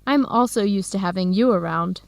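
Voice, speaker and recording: An actress playing an eager, earnest young Ethiopian-American student researcher in a radio drama for English learners, speaking clearly and in measured phrases. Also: female voice, medium pitch, no room sound, natural voice. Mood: friendly, upbeat. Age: young adult.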